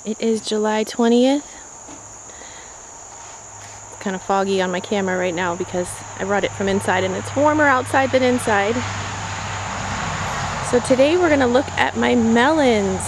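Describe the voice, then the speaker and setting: A woman's voice: on the high side, moderate pace, pauses often, background noise. A middle-aged woman giving a casual, upbeat tour of her backyard garden, talking to a handheld camera. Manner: chatty and upbeat, talking to the camera while working outdoors. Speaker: gardening vlogger. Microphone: handheld camera mic. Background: faint insects and crickets.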